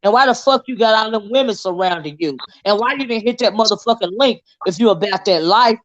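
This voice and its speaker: A middle-aged woman holds forth on a livestream, blunt and profane, hammering each point with curses. Her voice sits at a middling pitch. The audio is dull and lo-fi. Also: animated, fairly quick. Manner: profane, blunt and combative, emphatic cursing. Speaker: livestream host. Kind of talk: heated livestream commentary.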